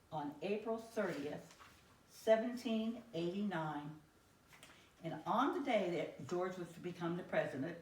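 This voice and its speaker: An American woman telling a story to young children in a simple, deliberate teacherly way, with questions to her listeners. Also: on the low side, darkish tone. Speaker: children's teacher reading aloud. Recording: roomy echo, distant microphone.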